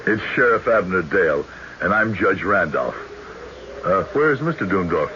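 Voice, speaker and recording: An American actor playing a serious, watchful old-West judge who narrates and acts in a radio drama, with measured delivery. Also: male voice, sits low, big pitch swings. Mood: serious, wary. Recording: muffled, noisy old radio broadcast.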